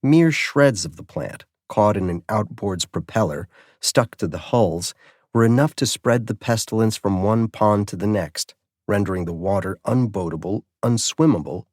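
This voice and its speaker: A man's voice: lowish voice, darkish tone, dry recording, studio quality. A man reading scripted narration of a mystery story as an audiobook narrator.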